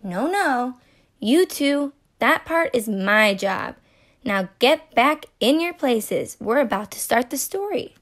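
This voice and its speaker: A woman reading a children's picture book aloud as storyteller, in a playful, expressive, deliberate way. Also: fairly high pitch. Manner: playful, deliberate, acting out asides.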